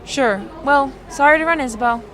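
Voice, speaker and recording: A woman's voice: high-pitched, normal speed, a bit bright. A woman playing a curious, practical young girl in an audio drama, speaking in an inquisitive, matter-of-fact way. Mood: friendly, teasing.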